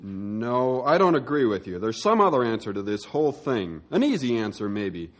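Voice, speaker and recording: A man playing a loyal, thoughtful young ranger in a radio western, speaking earnestly and in measured phrases. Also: mid-range voice, very animated, natural voice. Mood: firm, loyal. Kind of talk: old-time radio western drama acting.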